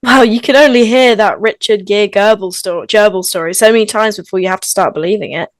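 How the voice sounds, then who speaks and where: A woman's voice: mid-range voice, brisk, no room sound, lightly acted. A woman playing a blunt, chatty teenage best friend in a script table read. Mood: frank, gossipy.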